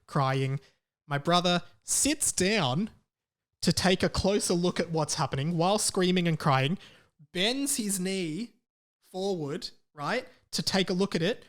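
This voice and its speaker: A man hosting a podcast, telling a personal story casually and comedically, with dramatic emphasis and repetition. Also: high voice. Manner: casual swearing. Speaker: podcast host.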